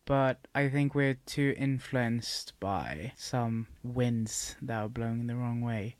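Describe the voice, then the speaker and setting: Very deep-voiced woman. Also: dry recording. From Sweden. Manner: relaxed, unhurried, laughs often. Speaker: podcast guest. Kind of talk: casual conversational chat.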